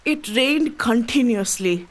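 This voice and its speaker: A plaintive indian lady traveller, slow dramatic radio play telling, faint rain noise behind. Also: a little high, animated. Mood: miserable. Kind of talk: radio play storytelling, reading a travel account. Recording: rain sound effects over the voice. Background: rain effects.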